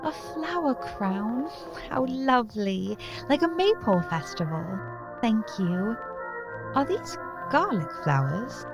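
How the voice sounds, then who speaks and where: A woman playing a fanciful, haunted yet defiant young gentlewoman in a radio play, with a theatrical, genteel delivery. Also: a little high, big pitch swings, takes it slowly, over music, full character voice. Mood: charmed.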